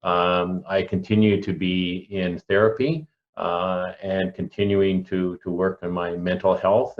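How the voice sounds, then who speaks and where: Deep-voiced Canadian man in his sixties, muffled audio. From rural Alberta, Canada. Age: early 60s. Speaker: panelist, local pastor. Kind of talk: personal testimony on a panel.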